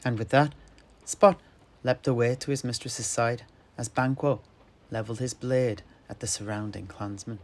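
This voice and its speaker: A man narrating a fantasy story dramatically, in measured, ornate phrases. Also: mid-range voice, fairly even intonation, darkish tone.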